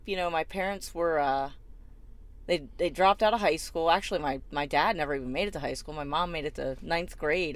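A middle-aged woman with a low-set voice co-hosts a podcast in a relaxed, chatty way. She rambles through a personal story, full of fillers and false starts, and laughs easily. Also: no echo. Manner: rambling, laughs often, many fillers and restarts. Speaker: podcast co-host. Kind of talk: casual storytelling chat.

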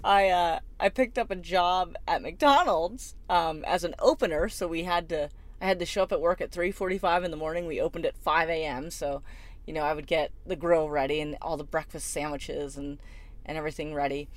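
Chatty woman telling a story with a lower voice. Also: fairly even intonation, a little fast, dry recording. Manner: rambling, laughs often, many fillers and restarts. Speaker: podcast co-host. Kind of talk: casual storytelling chat.

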